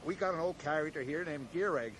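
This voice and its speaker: An actor playing a kindly, middle-aged American small-town sheriff in a radio drama, speaking in a folksy, hesitant way. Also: male voice, on the high side, fast talker, close to an everyday voice. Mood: apologetic, matter-of-fact. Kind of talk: 1980s radio drama acting. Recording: noisy 1980s radio drama recording.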